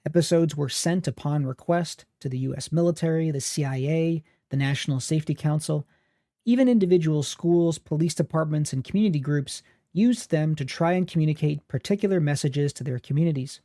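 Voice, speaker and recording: A man narrates a history podcast in a steady, formal voice, pitched a little high with a mellow tone. He is close to the mic in a dry, dead room. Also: no room sound. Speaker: podcast host.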